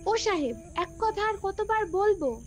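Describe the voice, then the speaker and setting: A woman playing a stubborn young village wife in an animated story, curt and unyielding in her replies. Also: very high-pitched, measured pace, soft background music. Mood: weary defiance.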